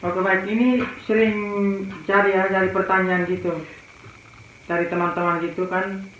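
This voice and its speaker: A man's voice: high voice, flat delivery, moderate pace, fairly bright tone. A man from East Nusa Tenggara with an eastern Indonesian accent, answering questions as an interview guest. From Malaka, East Nusa Tenggara, Indonesia. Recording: very echoey, muffled, faint noise.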